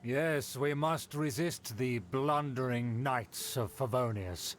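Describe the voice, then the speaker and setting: A man's voice: medium pitch, takes it slowly, soft background music, lightly acted. An actor voicing a haughty, condescending male aristocrat in a video game, speaking in a pompous, formal way. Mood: approving.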